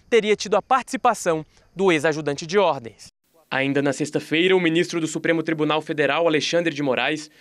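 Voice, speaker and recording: High-voiced Brazilian news reporter. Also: male voice, dry recording. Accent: Brazilian Portuguese. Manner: measured, formal news delivery. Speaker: field reporter. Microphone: handheld microphone.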